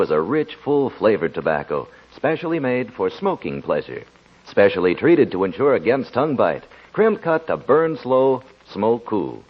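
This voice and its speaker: A man's voice: medium pitch, husky. A man reading a scripted commercial as a 1940s radio announcer. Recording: muffled 1940s radio recording.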